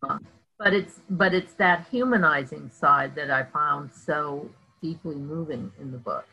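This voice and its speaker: A woman's voice: deep voice, unhurried, pushed and bright, a little hiss or hum. A middle-aged woman interviewing over a video call with dull, muffled sound, hesitantly searching for her words. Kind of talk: conversational interviewing. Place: home room with bookshelves, indoors. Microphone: video call, computer microphone.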